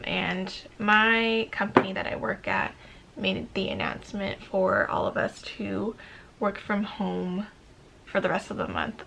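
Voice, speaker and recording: A woman in her twenties with a raspy morning voice, chatting casually through her skincare routine in a get-ready-with-me vlog, full of ums and likes. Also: medium pitch, a little hiss or hum, rough recording. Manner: relaxed, rambling, lots of ums and likes. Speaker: beauty vlogger.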